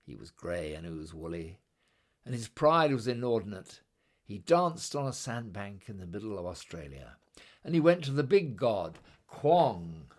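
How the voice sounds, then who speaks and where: A man reading a children's story aloud in a dramatic, rhythmic, expressive way, with incantatory repetition. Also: fairly low pitch, moderate pace. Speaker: storybook reader.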